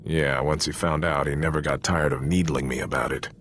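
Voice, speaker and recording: A man's voice: rumbling low, fairly even intonation, brisk, fairly bright tone, slight background noise. A man playing an earnest, loyal Republic soldier in a video game, speaking frankly in an informal, sincere military manner. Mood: confiding, troubled. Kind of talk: cinematic video game voice acting.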